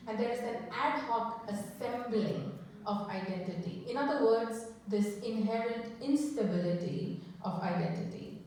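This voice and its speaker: A woman giving an academic panel talk at a conference, measured and thoughtful, often posing questions to the audience. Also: a little high, mellow, a little hiss or hum. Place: conference hall. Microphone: microphone that cut out mid-talk. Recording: echoey hall, from far off.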